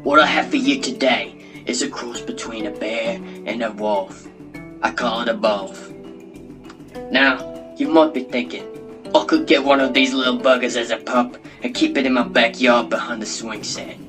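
A man acts out a brash wildlife wrangler on a comedy show. He boasts and rambles in matey slang at a middling pitch, with music clearly behind him. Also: normal speed, natural voice. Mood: boastful. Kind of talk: comedy talk-show guest bit.

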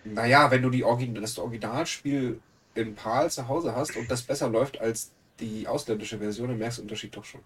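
A man's voice: mid-range voice, brisk, a bit bright, husky, far from the mic. A man with a cold who sounds nasal, chatting casually as a podcast co-host with joking, teasing banter. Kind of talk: casual chat.

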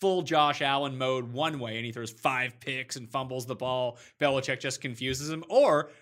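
A man hosting a casual sports talk show, chatting in an animated, slangy and joking way. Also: medium pitch, normal speed. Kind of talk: casual fantasy football talk show banter.

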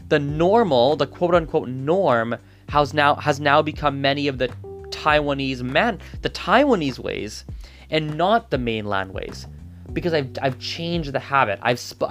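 A man's voice: mid-range voice, a bit bright. A man whose first language is Gujarati, talking through his thoughts in a casual, rambling monologue full of restarts and asides. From Canada. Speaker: polyglot vlogger.

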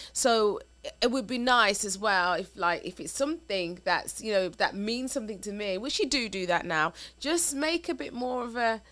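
Forties female co-host, mid pitch, earnest and chatty, many fillers. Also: fairly bright tone. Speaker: podcast co-host, wife. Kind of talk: casual conversation.

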